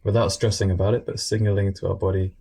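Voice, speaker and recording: A man guiding a meditation in a gentle, unscripted way, speaking informally and soothingly in short phrases with pauses. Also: deep voice, little pitch movement, gravelly, slightly rough. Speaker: meditation guide.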